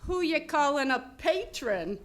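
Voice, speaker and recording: A woman's voice: sits high, very animated, unhurried, a bit bright, heavily acted. A woman playing a dim-witted, cheerful male carnival sidekick in live radio comedy, slangy and naive. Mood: cocky.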